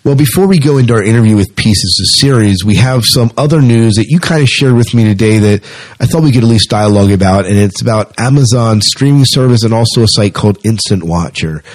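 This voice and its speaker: A man with a fairly low voice talks as a podcast co-host. His delivery is conversational and informal, like someone chatting through the news with a colleague. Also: lively intonation, a little fast, darkish tone, poor audio. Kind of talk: casual chat about sci-fi movie news.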